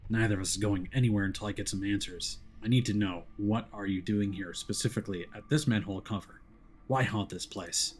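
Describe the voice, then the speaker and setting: A man voicing a gruff, weary paranormal detective, terse and dryly sardonic, for a story game. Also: lowish voice, fairly quick, close to an everyday voice. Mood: questioning, dry. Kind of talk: visual novel character voice.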